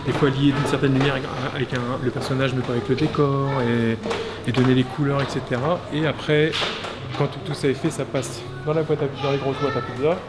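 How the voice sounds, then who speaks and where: A man giving a casual tour of an animation studio, chatty and quick to laugh, with lots of fillers and restarts. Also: medium pitch, level tone, over loud music. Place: animation studio offices, indoors.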